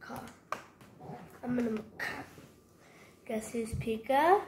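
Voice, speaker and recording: High-voiced, slow, halting female picture-book reader. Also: animated. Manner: halting, stumbling over hard words. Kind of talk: reading a toddler picture book aloud. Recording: roomy echo, clear background noise.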